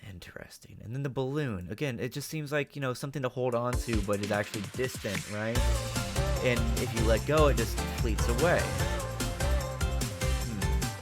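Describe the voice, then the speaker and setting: A man giving casual reaction commentary, thinking aloud in a halting, tentative, musing way. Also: medium pitch, over music. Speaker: host of a reaction channel.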